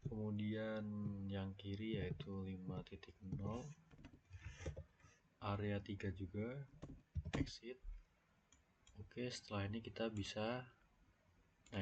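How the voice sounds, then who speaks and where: A young Indonesian man with a cold, talking through a screen-recorded software tutorial in a halting, thinking-aloud way, stopping for fillers while he types. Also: on the low side, fairly even intonation. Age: young adult. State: sick with a cold. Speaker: student. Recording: dull lo-fi mic, faint background noise.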